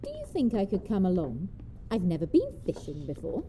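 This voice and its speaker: A woman's voice: mid-range voice, wildly swooping pitch, brisk, dark tone, slight background noise. An actress playing a feisty, mischievous old woman in an audio drama, her voice muffled. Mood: cheeky, wistful. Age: older.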